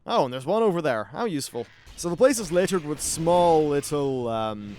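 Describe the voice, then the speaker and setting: A man's voice: fairly high pitch, lively intonation, moderate pace, a little mellow, slight background noise. A man hosting a gaming video, giving casual, informal gameplay commentary with some swearing.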